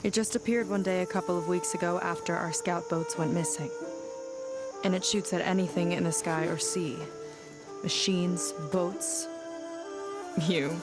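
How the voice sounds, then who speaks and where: An actress playing a determined young petty officer in a video game, proud and frustrated, speaking earnestly and plainly. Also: female voice, lowish voice, steady tone, measured pace, pauses often, loud music behind the voice, lightly acted. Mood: serious, focused. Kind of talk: video game cutscene acting.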